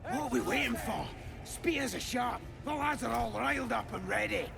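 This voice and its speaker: A man playing a servile goblin soldier in a video game, talking in a rough, colloquial way. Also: very high-pitched, lively intonation, moderate pace, exaggerated voice. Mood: eager.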